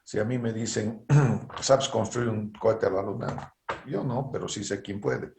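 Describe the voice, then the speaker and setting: A man's voice: fairly low pitch, a little slow, creaky, roomy sound, noisy background. A middle-aged man with a Bolivian Spanish accent, giving an online university engineering lecture and often checking in with rhetorical questions. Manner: lecturing, frequent rhetorical "¿no es cierto?" tags. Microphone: video call.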